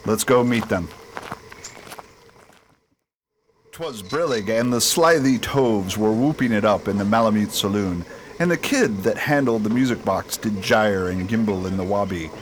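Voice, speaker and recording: A man's voice: lowish voice, moderate pace, slight background noise, close to an everyday voice. A man playing a wry, composed space expedition leader in a science fiction radio drama, speaking casually.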